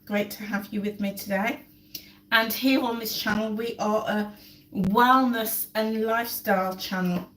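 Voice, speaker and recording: A woman's voice: medium pitch, fairly even intonation, a little slow, fairly bright tone. A woman hosting a casual live stream while cooking at home, chatty and repeating words for emphasis, still getting over a cold. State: recovering from a cold, coughing. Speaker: lifestyle channel host. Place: home kitchen. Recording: echoey kitchen, mic at a distance.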